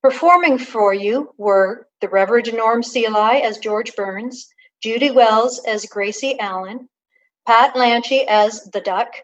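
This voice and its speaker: A woman's voice: fairly high pitch, unhurried. A woman announcer reading scripted closing credits for a radio play, on a dull, muffled recording. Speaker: narrator and announcer.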